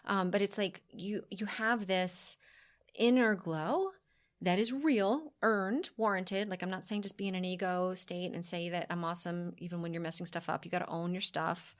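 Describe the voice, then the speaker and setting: Exuberant woman in her forties. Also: lowish voice. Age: 40s. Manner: exuberant, emphatic, self-affirming, talks fast in bursts. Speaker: podcast guest, fitness company founder. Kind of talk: casual podcast interview.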